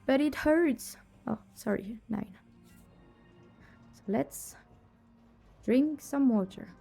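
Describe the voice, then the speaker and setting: A woman's voice: sits high, slow, creaky, mellow, husky, faint music behind. A woman with a cold streaming a detective game, chatting casually and laughing often as she plays. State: has a cold, voice lowered. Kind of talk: casual live-stream chat.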